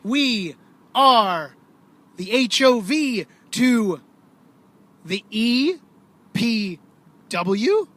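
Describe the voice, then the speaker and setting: Male co-host, raised pitch, mock-announcer patter, dry teasing, recorded in a car. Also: lively intonation, drawn-out words, long pauses, fairly bright tone. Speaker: podcast co-host. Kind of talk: casual banter while driving. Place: inside a car.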